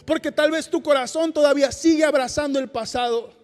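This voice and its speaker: Male pastor, high-pitched, fervent and emphatic in sermon and prayer. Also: fairly quick, in a large hall, soft background music. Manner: fervent, emphatic preaching with repeated calls of "Señor". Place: church service.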